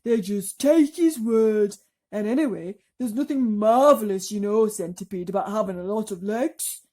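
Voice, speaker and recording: A woman's voice: mid-range voice, moderate pace, mellow, lightly acted. A woman voicing a prim, indignant earthworm in a storybook reading. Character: prim, shrill, touchy earthworm.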